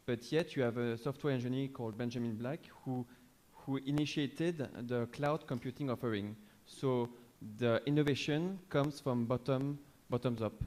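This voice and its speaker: A man's voice: mid-range voice, a little mellow. A man with a French accent asking a lecturer a long question from the audience, hesitating and rephrasing as he goes. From France. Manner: hesitant, circling back to rephrase. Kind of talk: question from the floor.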